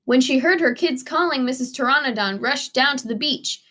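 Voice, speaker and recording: A woman's voice: sits high, normal speed, sharp tone. A woman teacher reading a children's story aloud expressively, with dramatic emphasis for young listeners.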